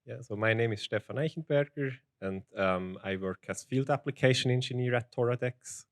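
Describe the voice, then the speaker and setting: A man's voice: mid-range voice, normal speed, no room sound, studio quality. A man from Switzerland working as a field application engineer, presenting at a tech conference in a plain, hesitant way with ums and restarts. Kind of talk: technical conference talk. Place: conference hall.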